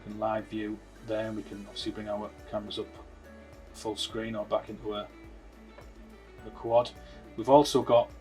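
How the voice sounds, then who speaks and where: A man presenting a product demonstration, explaining it informally step by step as a technical tutorial. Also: fairly low pitch.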